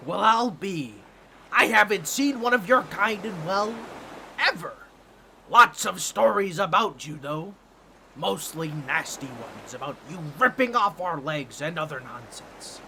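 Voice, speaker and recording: A man voicing an excitable, boastful talking octopus in a comedic audio roleplay, with a nasal, squawky voice and a fussy, theatrical, pompous way of talking to the listener. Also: high voice, slow, vocal fry, pauses often, slight background noise, exaggerated voice. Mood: curious, amazed.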